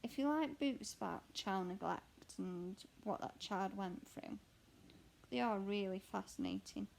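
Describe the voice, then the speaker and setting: Young woman, croaky, gravelly, slow, rambling casual chat. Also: medium pitch, a little mellow, slight background noise. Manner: chatty, rambling, candid. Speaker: cross stitch vlogger and mother. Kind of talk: casual chat answering a question tag while stitching. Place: at home.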